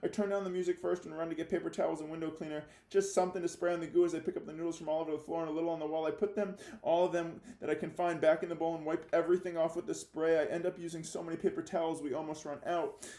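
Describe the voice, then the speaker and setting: A man's voice: a little high, steady tone, racing, darkish tone, echoey room, pitched above the performer's own voice, natural voice. A man reading a children's novel aloud as an anxious, defiant young boy left home alone, confessional and emphatic. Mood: worried, regretful. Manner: confessional first-person storytelling with emphatic, childlike phrasing.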